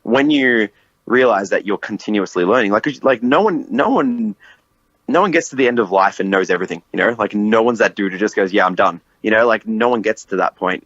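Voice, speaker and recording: A man's voice: on the low side, fairly quick, a bit bright, no echo, muffled recording. A man in his late twenties hosting a podcast, chatting casually and animatedly, swearing and laughing often. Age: 20s. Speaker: podcast host. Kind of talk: casual conversation.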